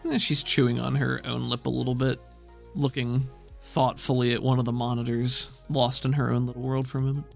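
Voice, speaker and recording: A man's voice: mid-range voice, little pitch movement, brisk, gravelly, soft background music. A man narrating and running a tabletop game, explaining rules in an easygoing way. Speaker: game master.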